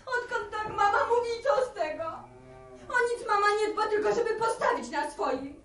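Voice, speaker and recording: An actress playing a headstrong, aggrieved young farm daughter in a radio play, insistent and plaintive. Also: female voice, very high voice, expressive, moderate pace, a crowd in the background. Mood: tearful defiance. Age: young adult. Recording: roomy, distant radio recording.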